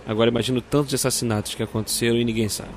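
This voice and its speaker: An actor playing a composed, wry police detective in an audio drama. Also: male voice, mid-range voice, steady tone, racing, a little hiss or hum, lightly acted. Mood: grave, explanatory. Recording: music laid under the voice.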